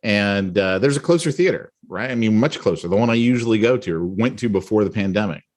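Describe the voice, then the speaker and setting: A middle-aged man co-hosting a podcast, telling a story in a rambling, animated way with many restarts and asides. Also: on the low side, fast. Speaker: podcast co-host. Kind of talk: casual storytelling chat.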